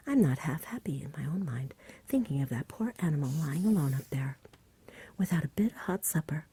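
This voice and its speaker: A sensible country mother from a storybook acted by a woman reader speaks in a low, very breathy whisper. She sounds homely and kindly, with a mellow softness made for ASMR. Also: full character voice. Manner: homely, rustic, reasoning. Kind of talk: soft-spoken ASMR storybook reading.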